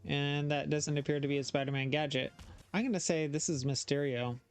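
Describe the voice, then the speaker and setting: A man's voice: a little high, fairly even intonation, fairly quick, clear, resonant tone, soft background music. A man giving casual reaction commentary as a host, often thinking aloud and hesitating.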